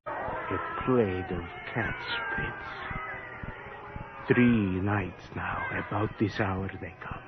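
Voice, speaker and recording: An actor playing a humble but defiant, pious parish priest in a radio horror drama, speaking solemnly and theatrically. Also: male voice, low voice, slow, pauses often. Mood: ominous. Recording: dull, noisy old radio recording.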